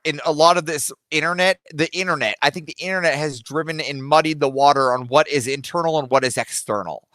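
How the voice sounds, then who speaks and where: A man's voice: a little high, fairly quick, hardly any pauses, bright, forceful tone, no echo, studio quality. A man from Alaska on a panel, rambling through his points with lots of fillers and "right?". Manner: rambling, keeps adding "right?", many fillers. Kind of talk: heated panel discussion on a live stream.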